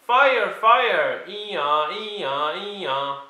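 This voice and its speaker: A man puts on an excitable, determined young boy from a storybook. He calls out urgently in a raised, bright voice that rings slightly in the room. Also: sits high, drawn-out words, reverberant, voice pushed up, exaggerated voice, rough recording. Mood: urgent excitement. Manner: urgent, calling out, exclamatory. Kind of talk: storybook character voice.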